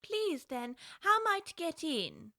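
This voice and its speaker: A well-spoken young girl, earnest, high bright lively voice, dry studio. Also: a little slow, creaky, no room sound, heavily acted. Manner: earnest, timid yet indignant, well-spoken. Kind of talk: audiobook drama acting.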